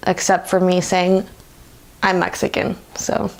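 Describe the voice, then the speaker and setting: Young American woman with a creaky voice. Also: on the low side, monotone, a little slow. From United States. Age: 20s. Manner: informal, often laughs while talking. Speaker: interviewee. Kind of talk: reflective, conversational personal interview.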